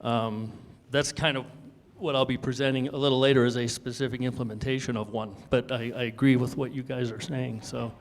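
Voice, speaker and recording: A man's voice: mid-range voice, steady tone, brisk. Man explaining in a reverberant hall. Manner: explanatory, thinking aloud. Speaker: panelist. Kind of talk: panel discussion.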